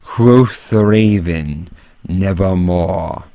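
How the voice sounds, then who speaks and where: A man's voice: low voice, vocal fry, warm tone, no room sound, voice pushed down, exaggerated voice. A man voicing a grim, ominous figure, solemnly drawing out the refrain of a horror poem. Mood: grim, foreboding. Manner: slow, solemn, drawn-out. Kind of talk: theatrical recitation of horror poetry.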